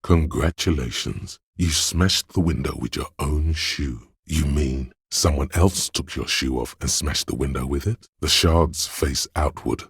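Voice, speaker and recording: Analytical inner voice, extremely low bass, crisp and resonant, deadpan, measured, precise game narration. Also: male voice, no echo, clean studio sound, exaggerated voice. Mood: dry, sardonic. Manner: measured, deliberate, dryly deadpan. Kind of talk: scripted game narration, second person.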